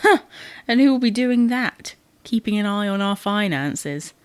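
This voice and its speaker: A woman's voice: medium pitch, lively intonation, unhurried, smooth, natural voice. A woman playing a stubborn, exasperated wife in a domestic comedy audio drama, indignant and matter-of-fact.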